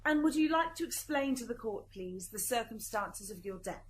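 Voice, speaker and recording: Woman acting a formal, lively prosecuting lawyer. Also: fairly high pitch, moderate pace, a little hiss or hum, lightly acted, poor audio. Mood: probing. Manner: formal, questioning. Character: composed, probing prosecuting lawyer in heavenly court. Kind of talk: amateur student radio drama acting.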